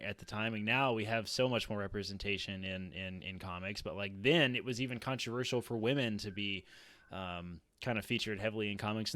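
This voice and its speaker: A man's voice: fairly low pitch, a bit bright, dry recording. An American man in his thirties talking earnestly in a casual roundtable discussion, as a podcast panelist. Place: small room at home. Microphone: close boom-arm podcast mic.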